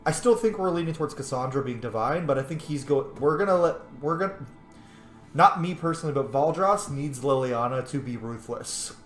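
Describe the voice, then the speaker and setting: A man's voice: fairly high pitch, animated, a little fast. A man hosting a game playthrough with informal, opinionated roleplay commentary. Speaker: gaming host. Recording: roomy echo, game music behind.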